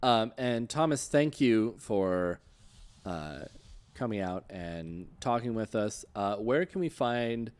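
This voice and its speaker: A man hosting a casual online interview show about tabletop games, speaking in a halting, hesitant way. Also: lowish voice, expressive, darkish tone, clean tone.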